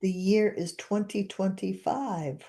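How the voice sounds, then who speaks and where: A woman's voice: mid-range voice, takes it slowly, mellow. A woman in her seventies hosting a podcast, chatting casually and repeating guided phrases after her guest. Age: 70s. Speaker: podcast host and therapist.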